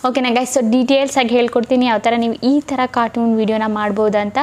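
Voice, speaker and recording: A woman's voice: on the high side, level tone, fast, darkish tone. A woman presenting a casual step-by-step tutorial, instructing and often checking in with listeners. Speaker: tutorial host.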